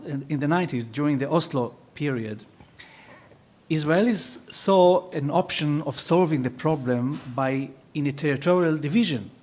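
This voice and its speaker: A man speaking as an expert on a panel, explaining emphatically, repeating words and posing his own questions as he answers. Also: a little high.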